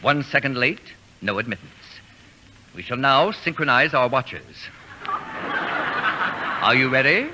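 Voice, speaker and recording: An actor playing a pompous, fastidious man who orders students around in formal, precise diction, in 1940s radio comedy style. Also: medium pitch, sing-song, pushed and bright, exaggerated voice. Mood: stern, formal. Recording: noisy vintage radio broadcast with clicks.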